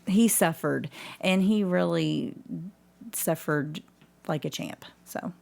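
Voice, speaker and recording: A woman's voice: on the low side, slow, vocal fry, darkish tone. A woman speaking as an enthusiastic guest in a casual interview about her own work. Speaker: podcast guest, community theatre actor and director.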